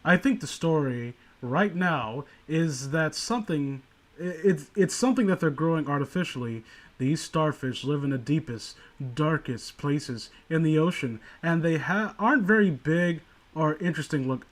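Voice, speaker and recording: Sardonic man with a slightly high voice. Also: expressive, normal speed. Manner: sardonic, rambling, profane. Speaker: podcast co-host reading and mocking amateur horror stories. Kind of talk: casual comedic commentary.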